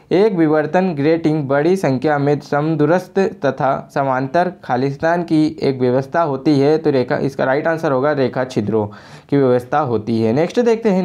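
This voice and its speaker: Casting: Indian-accented coaching teacher, mid-pitched, mellow dark tone, explanatory exam read-through. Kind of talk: reading out exam questions and answers, explanatory lesson.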